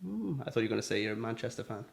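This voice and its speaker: A man hosts a casual interview show, winding through his questions with friendly fillers and restarts. His voice sits a little lower than usual for a man. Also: sing-song, very fast, vocal fry, slight background noise. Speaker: podcast host.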